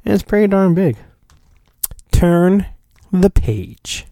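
A man's voice: sits high, sing-song, takes it slowly, creaky, warm tone, no echo, clean studio sound. A male podcast host giving a mock-dramatic storybook reading, with hammy emphasis and rambling joking asides. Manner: hammy, exaggerated emphasis, digressive.

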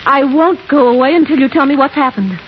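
An actress playing an anxious, insistent young fiancée, urgent and questioning, in a 1950s radio drama. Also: female voice, high voice, lively intonation, fast. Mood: pressing. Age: young adult. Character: insistent, anxious fiancée in her early twenties. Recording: dull, very noisy 1950s radio recording.

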